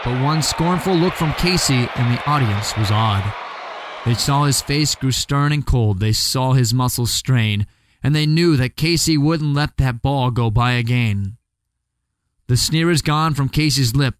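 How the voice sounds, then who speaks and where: A man giving a dramatic recitation of a poem, with big swings in pitch and pace and bursts of shouting. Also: unhurried. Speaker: poetry reader.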